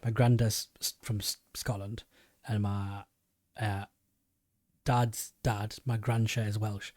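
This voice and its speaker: A man's voice: on the low side, little pitch movement, slow pace, a little mellow, no echo, clean studio sound. A man with a Geordie accent hosting a podcast, chatting casually and often restarting his sentences. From England. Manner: rambling, many restarts. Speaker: podcast host. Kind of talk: casual chat.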